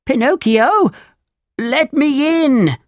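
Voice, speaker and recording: A woman's voice: low voice, very animated, takes it slowly, no echo, clean studio sound, heavily acted. A woman voicing a poor, lonely male woodcarver in a children's storybook, emphatic and fatherly. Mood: exasperated. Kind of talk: children's storybook character reading.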